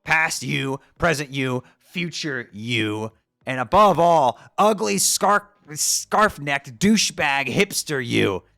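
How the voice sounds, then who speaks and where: A man voicing an abrasive teenage troll boy who yells insults and swears constantly. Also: mid-range voice, expressive, normal speed, no room sound, clean studio sound. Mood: angry shouting. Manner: yelling, profane, insulting. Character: furious shouting teen troll boy, abrasive. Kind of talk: fan dub of a chat comic.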